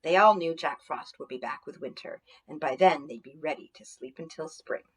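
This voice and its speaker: A woman's voice: deep voice, normal speed, husky. Woman reading a children's story expressively.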